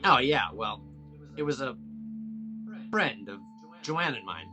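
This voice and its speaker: An actor reading a laid-back, guarded small-town policeman in a film script, casual, halting and folksy. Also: medium pitch, expressive, over music. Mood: guarded, confiding. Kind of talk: table read of a film script.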